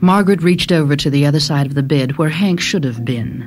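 A woman's voice: low voice, fairly quick, faint music behind. A woman reading a short story as dramatic scripted narration. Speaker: story reader.

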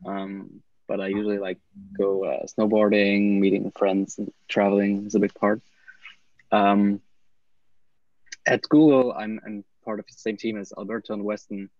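A young man on a casual video call, a developer, speaking in a measured, slightly halting way. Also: on the low side, level tone, darkish tone, no echo, lo-fi sound. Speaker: guest, developer.

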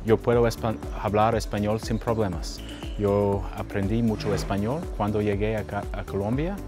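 Low-voiced Irish man giving a testimonial. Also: steady tone, normal speed, over music. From Ireland. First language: English. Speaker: language student, lawyer. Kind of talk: testimonial to camera.

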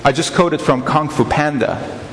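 A man's voice: a little high, moderate pace, a little hiss or hum. A man, giving an earnest guest talk at a church and often restarting his phrases. He speaks into a headset mic. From Iran. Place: church hall, indoors. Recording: echoey church hall reverb.

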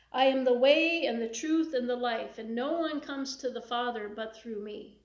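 Soft-voiced woman pastor in echoing church. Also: fairly high pitch. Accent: Upper Midwest American. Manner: conversational, gestures while preaching seated. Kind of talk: sermon. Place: small church sanctuary, indoors. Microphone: fixed camera in front. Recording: echoey room, faint hiss.

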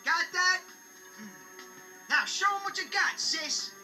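A brash, loyal teenage big brother in an anime dub. He talks in streetwise slang with lively swings in pitch, recorded off a TV with loud music behind. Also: a little slow, exaggerated voice. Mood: encouraging, cocky. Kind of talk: English-dubbed anime acting.

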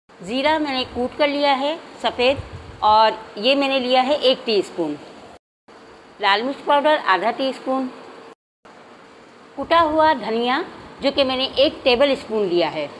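Woman cooking host, bright high voice, steady and methodical, home-kitchen recording. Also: a little hiss or hum, poor audio. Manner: calm, methodical, addresses viewers directly. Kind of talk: step-by-step cooking instruction. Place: home kitchen, hands only on camera.

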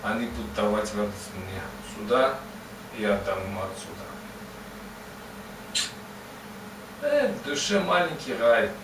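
A man's voice: fairly low pitch, big pitch swings, moderate pace, voice pushed down. A man voicing old, bearded Confucius as a folksy sage, rambling and asking "right?" after every phrase. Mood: earnest, wise. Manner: rambling, repeats "да?" and "вот" constantly. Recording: roomy, distant mic, ambient noise.

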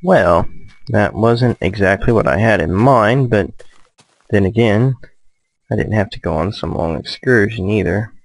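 A man giving casual, low-key let's play commentary, musing hesitantly to himself as he plays. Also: measured pace, no room sound, poor audio. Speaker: gamer, let's play host.

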